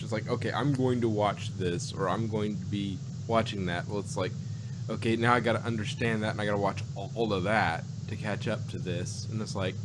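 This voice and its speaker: A man chatting casually as a review co-host, rambling and halting as he searches for words, unscripted. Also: lowish voice, noisy background. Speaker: podcast co-host reviewing audio dramas.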